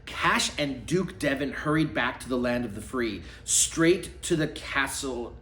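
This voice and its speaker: A man reading a storybook aloud with a theatrical, deliberate delivery. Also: mid-range voice, expressive, fairly bright tone. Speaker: storybook reader. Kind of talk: animated read-aloud storytelling. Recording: echoey room, faint background noise.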